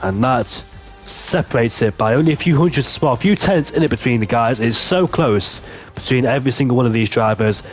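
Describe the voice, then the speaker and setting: A man commentating a sim racing session, excitable and losing his voice, hoarse. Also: brisk, faint music behind. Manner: excitable, rises sharply in pitch at key moments. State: voice going hoarse. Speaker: lead commentator.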